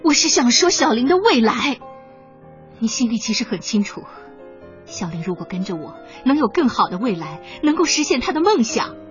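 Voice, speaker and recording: An ambitious, well-off mother in a radio drama. Her fairly high voice is earnest and insistent, with very animated intonation and pointed questions. Music plays behind her. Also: normal speed, highs cut off. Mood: insistent, reproachful. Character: wealthy, ambitious ex-wife and mother, persuasive, pleading. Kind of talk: Chinese radio drama acting.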